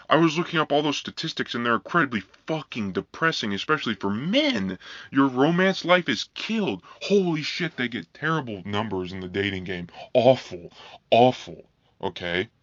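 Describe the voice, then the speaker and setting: Flat-voiced man ranting angrily. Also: mid-range voice, no echo. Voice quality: flat monotone voice. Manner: profane, heated, repetitive. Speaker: vlogger. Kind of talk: angry ranting monologue. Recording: dull lo-fi dry recording.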